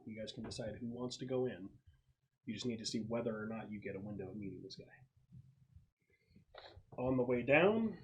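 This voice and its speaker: A man's voice: mid-range voice, a little mellow, poor audio. A man explaining and narrating casually as game master of a tabletop roleplaying session. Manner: explanatory, conversational.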